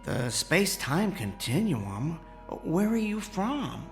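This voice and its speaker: A man playing an excitable, kindly amateur inventor in a radio drama, stammering and folksy. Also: fairly high pitch, slow, gravelly, soft background music, full character voice. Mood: curious. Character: excitable, kindly amateur inventor, male former teleportation engineer.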